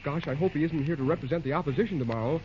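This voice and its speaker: An actor playing a harried, excitable young American railroad man, slangy, in old-time radio drama style. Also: on the high side, racing, close to an everyday voice. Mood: anxious. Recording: dull, noisy vintage radio recording.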